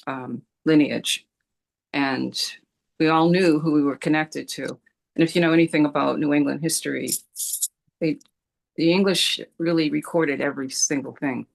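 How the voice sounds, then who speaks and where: A woman from Rhode Island speaks up in a group video call, her voice gravelly with a touch of fry. She talks hesitantly, filling pauses with ums and restarting her sentences. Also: sits low, fairly even intonation, poor audio. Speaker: community discussion participant. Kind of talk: casual discussion on a video call.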